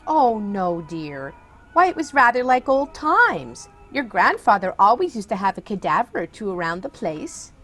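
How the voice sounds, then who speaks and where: A woman's voice: medium pitch, big pitch swings, normal speed, a bit bright, soft background music. A woman playing a dotty, kindly elderly aunt in a theatrical radio comedy, genteel, doting and placidly matter-of-fact. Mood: fondly reminiscing. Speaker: actor.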